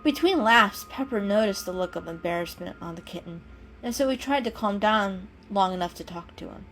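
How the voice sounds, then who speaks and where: A woman narrating a children's story in an expressive, dramatic reading style. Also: mid-range voice, a little fast, rough recording. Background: soft music.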